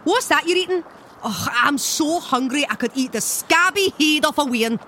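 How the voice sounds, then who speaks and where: A high, bright and boisterous ancient Scottish she-monster of the loch, brash and insulting in thick Scots slang. She swings widely in pitch in comic audio-drama fashion, with water sounds behind her. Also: animated, moderate pace, slight background noise. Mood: hearty, chatty. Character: ancient, boisterous, foul-mouthed, hard-drinking female Loch Ness monster. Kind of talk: audio fiction comedy acting. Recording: water and boat ambience behind.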